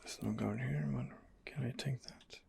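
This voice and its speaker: A man doing softly murmured ASMR game roleplay, breathy and husky, with mild, hesitant, unhurried replies close to the microphone. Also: medium pitch, background noise. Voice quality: breathy, husky, half-whispered. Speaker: gamer role-playing as his character.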